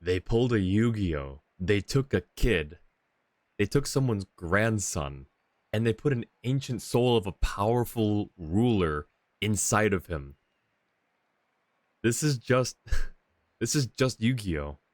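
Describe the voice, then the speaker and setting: A male game streamer chats casually over his play. His voice is low and animated, with wide swings in pitch, recorded dry and close to the mic. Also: slow, dry recording. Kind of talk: casual live game commentary.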